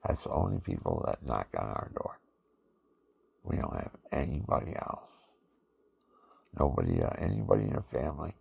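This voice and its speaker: An older man with a deep voice, talking in a rambling, folksy personal monologue full of fillers. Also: very animated, normal speed, gravelly, pauses often, darkish tone, husky. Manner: folksy, meandering, with many fillers.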